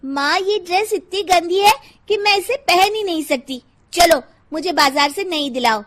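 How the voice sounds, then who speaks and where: A woman's voice: very high-pitched, normal speed, voice pushed up. A woman voicing a demanding young schoolgirl in a cartoon story, whining and complaining. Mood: whiny, demanding.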